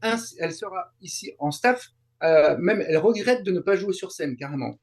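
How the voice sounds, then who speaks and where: A man chatting casually as an interview guest, explaining in halting, repetitive phrases. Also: high voice, expressive. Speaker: guest, translator and YouTuber. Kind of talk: casual interview chat about a fan event.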